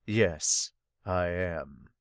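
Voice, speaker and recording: A man voicing a cold, menacing giant demon king from a manga, speaking low and threatening. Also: extremely slow, clear, resonant tone, dry recording, clean studio sound.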